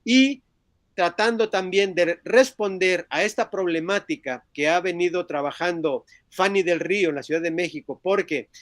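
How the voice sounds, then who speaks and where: A man speaks in a notably high register for a male voice. He presents like a university lecturer at a seminar, often pausing on fillers as he looks for the next phrase. The sound is dry, with very little room in it. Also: no room sound. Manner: halting, searching for words, frequent filler words. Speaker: philosophy lecturer presenting a book. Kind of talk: academic seminar talk.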